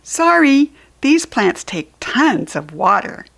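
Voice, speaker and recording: Woman voicing high-pitched, apologetic storybook worker. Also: sing-song, slow, vocal fry, full character voice. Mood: apologetic, dismissive. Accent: American. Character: apologetic, brisk storybook workers turning away a helper.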